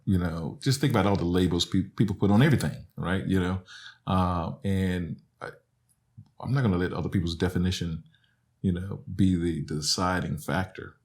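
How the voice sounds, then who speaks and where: A man's voice: low voice, normal speed, darkish tone. A middle-aged man from Alabama, a professor talking earnestly as a podcast guest, often tagging "right?" and "you know". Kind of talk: reflective conversational explanation.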